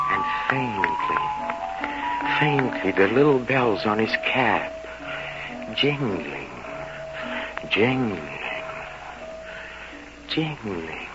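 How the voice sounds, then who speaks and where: An actor playing an obsessive, vengeful murderer confessing in a theatrical radio drama monologue, halting and repeating words as he gloats in torment. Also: sits low, sharp tone, full character voice. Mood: agitated confession. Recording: muffled vintage radio recording. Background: dramatic music.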